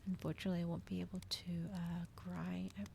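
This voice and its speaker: A woman livestreamer chatting casually in a soft ASMR style, often dropping toward a whisper. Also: fairly low pitch, completely flat delivery, fairly quick, gravelly, slight background noise. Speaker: livestreamer hosting an ASMR reading stream.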